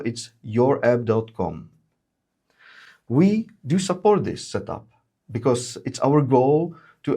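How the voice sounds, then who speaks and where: A man presenting a software webinar, explaining features with frequent hesitations and fillers. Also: medium pitch. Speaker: webinar presenter, solution engineer.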